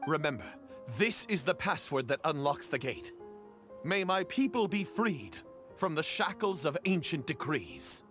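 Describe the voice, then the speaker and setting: Earnest, very animated young knight. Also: male voice, fairly high pitch, a little slow, faint music behind. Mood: courteous, grateful. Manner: formal, polite, flowery. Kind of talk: video game voice acting.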